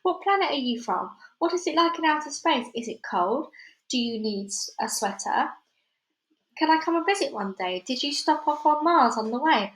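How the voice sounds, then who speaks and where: Bright high young girl as a woman reads her, headstrong, curious, rapid questions, roomy echo. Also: close to an everyday voice. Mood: excited. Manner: rapid-fire eager questions. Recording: echoey room.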